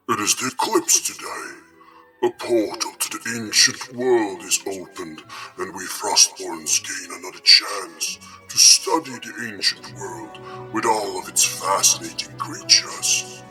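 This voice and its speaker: A very low-voiced, haughty old ice king played by a man, stately and scholarly, quick to scold. He sounds set back from the mic, with music underneath, in a fantasy audio drama. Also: bright, forceful tone, recorded from a distance, exaggerated voice, low-quality recording. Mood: scolding, imperious. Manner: stately, condescending. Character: haughty, scholarly old frost king, dismissive and short-tempered.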